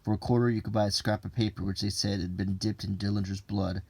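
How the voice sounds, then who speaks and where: A man reading an old printed text aloud in an ASMR style, unhurried and low-key, with casual offhand asides. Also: flat delivery, vocal fry, a little mellow, slightly rough, dry recording.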